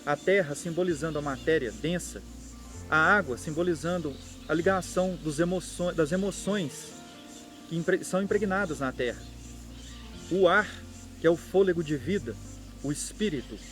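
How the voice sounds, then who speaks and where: A Brazilian man with a slightly raised pitch explains a subject at length, thinking aloud and restarting now and then. Faint music plays under his voice. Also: normal speed, fairly bright tone. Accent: Brazilian Portuguese. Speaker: occult channel host. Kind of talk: explanatory monologue.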